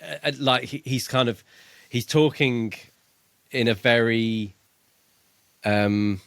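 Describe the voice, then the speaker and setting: A man co-hosting a casual book discussion podcast, thinking aloud in a tentative, halting way. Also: medium pitch, dry recording. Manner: tentative, musing, halting delivery. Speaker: podcast co-host.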